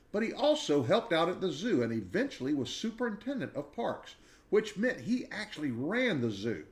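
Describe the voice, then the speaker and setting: A man reading a children's book aloud in an expressive storytelling style. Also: mid-range voice.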